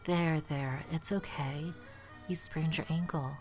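A woman playing a gentle, kindly grown woman with a soft, reassuring manner in a cartoon dub. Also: sits low, steady tone, measured pace, music in the background. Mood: tender. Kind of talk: comic dub cartoon acting.

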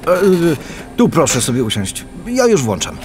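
A man's voice: medium pitch, wildly swooping pitch, moderate pace, background noise. An actor playing a polite, earnest policeman loyal to his men, speaking formally and hesitantly in a radio drama. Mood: matter-of-fact.

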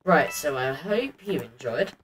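A man's voice: fairly high pitch, sing-song, measured pace. A man hosting a gaming video, chatting casually and self-deprecatingly to viewers as he plays. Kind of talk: casual gameplay commentary. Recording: quiet mic, music behind.

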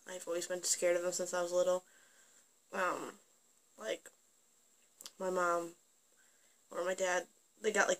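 Young woman, mid-pitched, casual reaction vlogger, jumpy exclamations, trailing sentences, home recording. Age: young adult. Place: small room at home, indoors.

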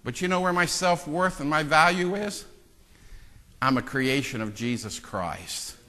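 Impassioned pastor, bright voice, long reverb. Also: male voice, a little high, animated, unhurried. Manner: swings from hushed asides to loud impassioned preaching; self-deprecating joking with the congregation. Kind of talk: sermon. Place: church sanctuary. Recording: long church-hall reverb.

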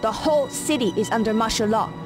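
A woman's voice: fairly high pitch, fast, lightly acted. A woman playing a cool, composed underground surgeon in a video game, with a clipped, matter-of-fact delivery. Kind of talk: video game cutscene acting. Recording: music behind the dialogue.

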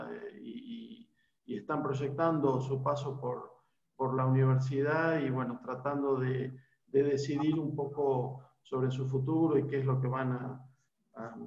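A man's voice: medium pitch, a little mellow. A man in his forties with an Argentine accent, a university lecturer talking to prospective students on a video call, hesitant with many fillers and restarts. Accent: Argentine Spanish. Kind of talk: informal talk to prospective students. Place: small room at home. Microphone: laptop webcam on video call. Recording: echoey room, dull lo-fi video call.

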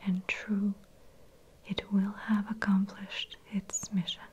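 A woman reading an old novel aloud in a soft, steady whisper, ASMR style. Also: medium pitch, takes it slowly, lo-fi sound, slight background noise. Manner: soft unvoiced whisper throughout. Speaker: reader.

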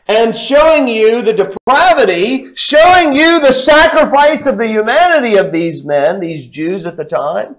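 Young male preacher at a pulpit, slow delivery, high voice that jumps up and drops low, loud then hushed. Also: rough recording. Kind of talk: sermon. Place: church sanctuary, at a pulpit.

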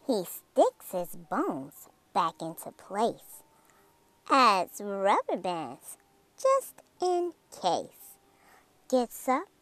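A woman reading a rhyming children's picture book aloud in a dramatic, theatrical way, drawing out words and dropping into hushed phrases. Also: mid-range voice, no room sound. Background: music.

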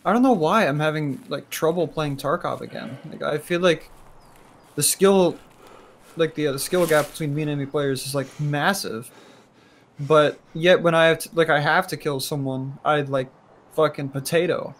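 A man's voice: on the high side, moderate pace. A man streaming a shooter game, self-deprecating and laughing at his own play. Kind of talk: casual gaming chat.

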